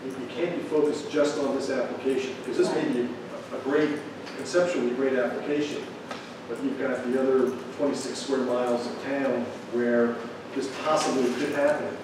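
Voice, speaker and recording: A man explaining things in a measured way as an official at a public meeting. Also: medium pitch, fairly even intonation. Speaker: town official advising a board. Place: large meeting room, distant camera. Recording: very echoey room, distant mic, noisy.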